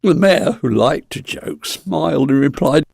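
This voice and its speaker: Male narrator, very animated intonation, creaky edge, dramatic storytelling, dry studio-like closeness. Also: fairly high pitch, unhurried, dry recording.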